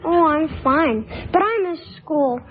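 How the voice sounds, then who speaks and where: A woman's voice: high-pitched, expressive, takes it slowly, narrow, tinny audio. A woman voicing a sick eight-year-old boy who is lonely and eager, talking earnestly and on the edge of tears in a radio drama. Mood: wistful. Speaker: sick child.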